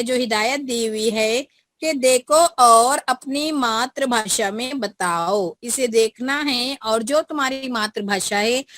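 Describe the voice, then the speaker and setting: Teacher coaxing pupils on a video call. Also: female voice, on the high side, fairly bright tone, dry recording. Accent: Indian. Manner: coaxing, repeats prompts to pupils. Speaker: school teacher. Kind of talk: online class teaching.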